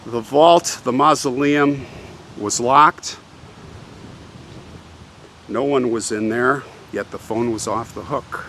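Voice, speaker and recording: Slow, suspenseful male storyteller outdoors. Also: medium pitch, fairly bright tone, a little hiss or hum. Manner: theatrical, suspenseful, repeats phrases for effect. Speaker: host of a cemetery history video channel. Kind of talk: dramatic on-location storytelling. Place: outdoors, walking in a cemetery.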